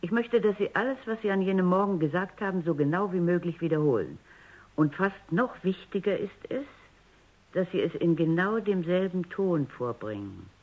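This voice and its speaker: A dull-sounding radio drama recording of an exacting woman robot psychologist. Her pitch moves widely as she speaks with precise, insistent phrasing, sounding firm and serious. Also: lively intonation, normal speed. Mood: firm, probing. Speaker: lead actor and first-person narrator. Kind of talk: radio drama acting and narration.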